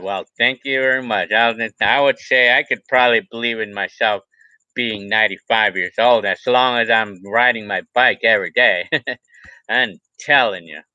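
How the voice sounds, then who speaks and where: Man, forties, livestream vlogger, sharp tense voice, rambling and repetitive, dull lo-fi sound. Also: medium pitch, no room sound. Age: 40s. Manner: rambling and repetitive, restarts phrases. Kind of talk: casual live chat reading viewer comments.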